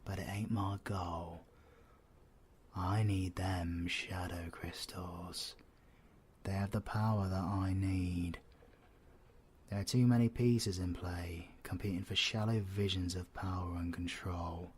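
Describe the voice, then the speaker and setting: A British man playing a cocky, menacing, flirtatious supervillain in an audio roleplay, husky and sardonic, often dropping to breathy whispers. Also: deep voice, steady tone, takes it slowly, darkish tone, slight background noise. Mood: menacing, boastful. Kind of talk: scripted audio roleplay, ASMR drama.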